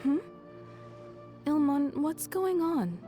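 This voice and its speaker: Gentle mother, fairly high voice, soft, soothing, wistful, video game drama, music behind. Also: very animated, takes it slowly, heavily acted. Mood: confused. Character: tender, wistful mother and wife, confused. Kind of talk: English video game voice acting. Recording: music under the voice.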